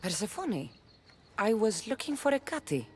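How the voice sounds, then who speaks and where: A woman's voice: mid-range voice, sing-song, measured pace, slight background noise. An actress voicing a bold, wry warrior woman in a mythic Greek video game. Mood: guarded. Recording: music behind the voice.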